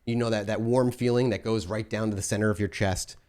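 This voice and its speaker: A man's voice: on the low side, fairly even intonation, fairly quick, a little mellow. A man running a tabletop role-playing game as storyteller, giving vivid sensory descriptions with frequent restarts.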